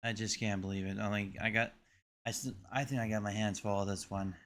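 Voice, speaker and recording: A man with a fairly low voice hosts a reaction video. He speaks in a casual, musing and informal way. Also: level tone, brisk, fairly bright tone.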